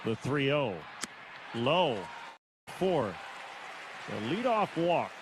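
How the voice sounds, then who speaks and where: An American man calling live baseball on the radio in short, clipped phrases with pauses. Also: medium pitch, sing-song, a crowd in the background. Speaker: baseball play-by-play announcer. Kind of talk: live radio play-by-play commentary. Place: stadium broadcast booth. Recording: noisy live ballpark broadcast.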